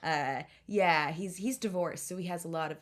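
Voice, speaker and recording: A woman's voice: on the low side, moderate pace. A woman hosting a podcast, chatting casually in an informal, rambling, enthusiastic way. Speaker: podcast host. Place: podcast recording.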